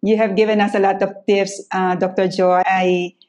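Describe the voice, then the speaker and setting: Mellow-voiced woman hosting an interview. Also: medium pitch, level tone. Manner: informal, halting questions. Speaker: host. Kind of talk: casual interview.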